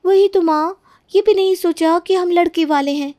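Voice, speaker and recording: Woman putting on a high, girlish, outspoken sister-in-law. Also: normal speed, darkish tone, no room sound, studio quality. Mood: scornful. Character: outspoken, indignant young sister-in-law, daughter of the family.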